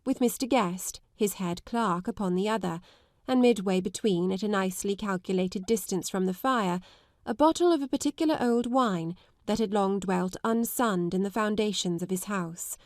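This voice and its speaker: A woman narrates classic fiction in an articulate, measured way. Her voice sits at a medium pitch, and the recording is dry, with no room sound. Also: dry recording. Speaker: audiobook narrator. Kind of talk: scripted literary narration.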